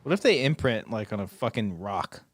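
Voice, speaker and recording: A man's voice: on the low side, sing-song, moderate pace, husky, no room sound. A man talking in a casual, comic chat style.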